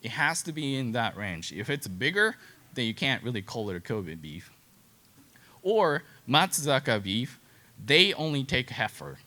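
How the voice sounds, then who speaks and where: A man with a Japanese accent speaks in a bright, forward voice at a middling pitch. He gives an informal slide presentation as a specialist, in simple, halting non-native English. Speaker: cattle genetics specialist, presenter.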